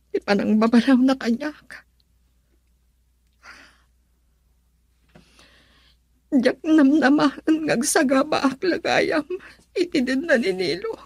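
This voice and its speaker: A woman's voice: on the high side, gravelly, a little mellow, slightly rough, no echo. A woman acting an unhappy young mother with an Ilocano accent in a radio drama. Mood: bitter, weary. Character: unhappy young mother regretting her marriage.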